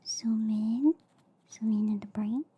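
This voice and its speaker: A woman softly whispering an ASMR roleplay as a reassuring alien surgeon, breathy and hesitant, close to the microphone. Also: fairly high pitch, steady tone, takes it slowly, highs cut off, a little hiss or hum. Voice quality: soft, breathy, mostly whispered. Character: reassuring, slightly inept alien girl surgeon. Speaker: ASMR performer playing an alien doctor.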